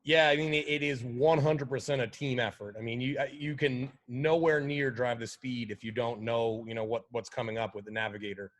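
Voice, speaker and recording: A man at a middle pitch talks as a guest in a laid-back interview. He rambles in an easy, friendly way and leans on casual fillers as he speaks. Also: fairly even intonation, fairly quick, hardly any pauses. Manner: easygoing, lots of fillers like "like" and "you know". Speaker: guest, pro rally driver.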